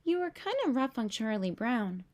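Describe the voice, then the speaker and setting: A woman voicing a sensible, frank young girl in a storybook, gently reproving. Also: a little high, big pitch swings, normal speed.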